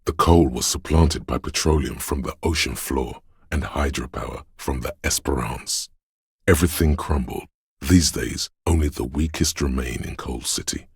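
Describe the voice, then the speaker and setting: An actor playing a brooding inner voice of a desolate wintry city, narrating a literary game in a gravelly, husky bass with a measured, solemn and ominous manner. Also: male voice, no echo, studio quality, heavily acted. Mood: solemn, elegiac. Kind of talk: slow literary second-person game narration.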